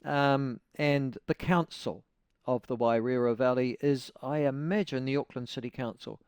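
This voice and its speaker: A man's voice: medium pitch, fairly even intonation, moderate pace, a little mellow, clear, resonant tone, no echo, studio quality. A man hosting a talk radio interview, wry and conversational, with leading questions. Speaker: radio host.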